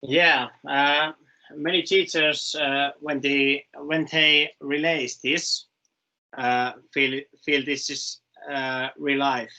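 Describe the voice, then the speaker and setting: Young finnish guy, bright forceful voice, halting english in short bits. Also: fairly high pitch, little pitch movement. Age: young adult. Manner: halting, simple phrasing. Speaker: webinar panelist. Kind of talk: informal webinar discussion.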